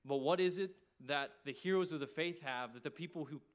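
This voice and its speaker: Clear-voiced Texan man preaching. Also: a little high, fairly quick. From small town in Texas, United States. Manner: folksy, conversational. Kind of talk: evening church sermon.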